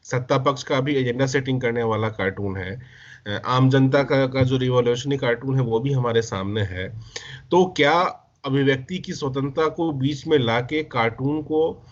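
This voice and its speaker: A man of medium pitch speaks as an interviewer in a conversational discussion. He builds long questions, hesitating and rephrasing along the way. The sound is dull and lo-fi. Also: moderate pace. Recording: muffled lo-fi recording.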